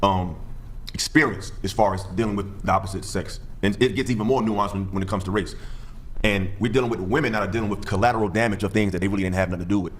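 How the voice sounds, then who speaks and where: Fast-talking man in his thirties, deep voice. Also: slight background noise. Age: 30s. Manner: fast, animated storytelling with acted-out quotes. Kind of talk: podcast panel discussion.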